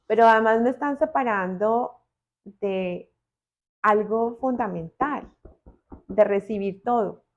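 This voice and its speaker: Unhurried Colombian woman, reflective. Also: on the high side, lo-fi sound. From Bogotá, Colombia. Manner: deliberate, reflective, with pauses. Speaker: podcast guest. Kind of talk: reflective personal interview talk.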